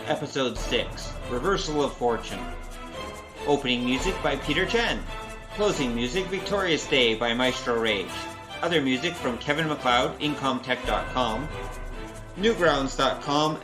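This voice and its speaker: A man's voice: mid-range voice, animated, normal speed, over music, low-quality recording. A man announcing scripted credits for an audio drama.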